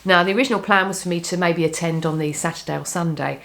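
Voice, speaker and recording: Croaky low-voiced woman chatting casually. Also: quick pace, fairly bright tone, slight background noise. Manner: chatty, rambling, frequent restarts and ums. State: has a cold, slightly croaky. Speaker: knitting vlogger. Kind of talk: casual chat to camera.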